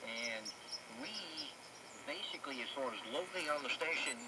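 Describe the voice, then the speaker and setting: A man's voice: medium pitch, animated, normal speed. A man in unscripted radio talk, heard through a shortwave receiver. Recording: very noisy shortwave radio reception.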